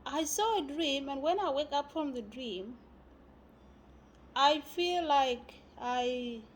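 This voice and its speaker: A woman from South Sudan giving a spiritual talk, often pausing and searching for words. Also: sits high, animated, a little hiss or hum. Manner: halting, searching for words with many fillers. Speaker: spiritual teacher.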